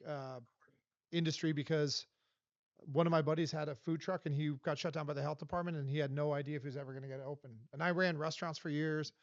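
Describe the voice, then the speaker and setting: A man from Los Angeles in his forties, talking as a guest in a casual interview, emphatic and animated. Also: a little high, no room sound. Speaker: guest, vendor advocate. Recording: dull dry podcast audio.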